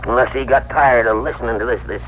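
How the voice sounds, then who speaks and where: A man's voice: mid-range voice, fairly even intonation, fairly bright tone, natural voice. An actor playing the old year as a stubborn, aggrieved old man in a 1960s radio drama, blustery and defensive. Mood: stubborn, grumbling. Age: older. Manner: blustery, defensive, halting when challenged. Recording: noisy, humming old radio broadcast.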